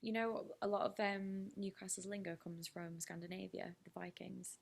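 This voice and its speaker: A woman's voice: mid-range voice, fairly even intonation, moderate pace, gravelly. A young woman, a DJ chatting casually and informally as an interview guest. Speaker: DJ being interviewed. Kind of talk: casual chat.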